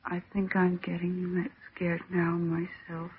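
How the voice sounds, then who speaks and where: A woman's voice: fairly low pitch, completely flat delivery, a little slow, lightly acted. An actress in an old-time radio drama playing a terrified, trapped woman struggling to stay sane, with a tremulous, pleading, intense delivery. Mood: haunted, weary. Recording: noisy, tinny old radio recording.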